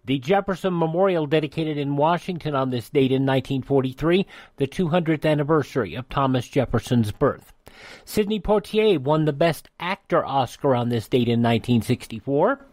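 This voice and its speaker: A man's voice: on the high side, lively intonation, moderate pace, darkish tone, no echo. A man hosting a radio program, reading out dates from history in a conversational way with dry asides. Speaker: radio host.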